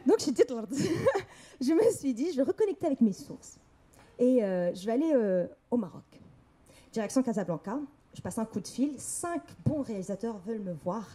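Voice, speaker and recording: A young woman from Morocco tells her own story to an audience, candid and lively. Her voice is fairly high and swings widely in pitch, with a dark, mellow tone. She speaks on stage at a public talk. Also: moderate pace. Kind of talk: personal story told on stage. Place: event hall.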